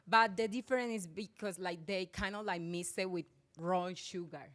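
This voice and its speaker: Woman, Colombian Spanish accent, Spanish native speaker, low-pitched, earnest, grammar slips. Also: normal speed, a bit bright. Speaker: guest, drink business owner. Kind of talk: casual interview.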